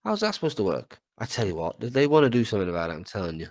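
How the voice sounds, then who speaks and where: A man's voice: lowish voice, wildly swooping pitch, racing, darkish tone, no echo. A man with a cold, co-hosting a podcast in casual chat, swearing and tripping over his words. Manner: rambling, swears, stumbles and repeats words. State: has a cold. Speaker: podcast co-host.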